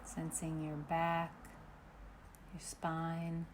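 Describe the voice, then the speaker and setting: Woman, low pitch, very slow pace, gentle meditation guidance, long pauses. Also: completely flat delivery, background noise. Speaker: meditation teacher. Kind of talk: guided meditation.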